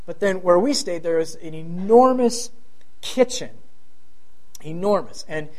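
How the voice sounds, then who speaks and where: A man's voice: high-pitched, darkish tone. A middle-aged man with a thin voice giving a sermon as pastor, chatty and self-deprecating with rambling asides.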